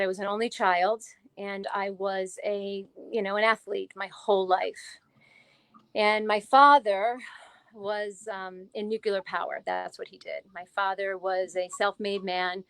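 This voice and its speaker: A woman in her forties from New Jersey, a guest author telling her life story in a casual interview, chatty and animated, laughing often. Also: mid-range voice, unhurried, smooth, no room sound. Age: 40s.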